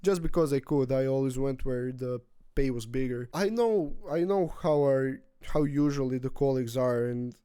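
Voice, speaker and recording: A man in his twenties, not a native English speaker, talking through his feelings in a rambling, halting personal vlog with frequent restarts. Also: medium pitch, mellow, smooth, no echo, clean studio sound. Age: 20s. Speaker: vlogger. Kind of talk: casual personal vlog monologue.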